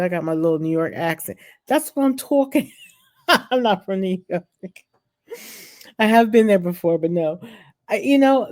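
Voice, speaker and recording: A woman vlogger who reads political news aloud haltingly, stumbling over names, then breaks into chatty opinions of her own. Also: medium pitch, warm tone. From California. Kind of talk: reading news aloud and commenting.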